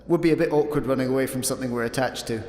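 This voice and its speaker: An actor playing a droll, pedantic shipboard computer in a sci-fi comedy radio play, dry and literal. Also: male voice, medium pitch, racing, darkish tone, close to an everyday voice. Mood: helpful, dry. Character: droll, pedantic, sarcastic male shipboard computer in an escape pod. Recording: echoey, long reverb on voice.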